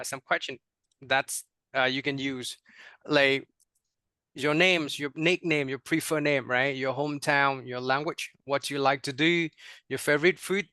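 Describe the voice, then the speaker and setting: A man's voice: mid-range voice, sharp tone, no echo. A young man with a Vietnamese accent leading an online teacher workshop, with many fillers and repeated words, often checking in with "right?". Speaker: teacher trainer.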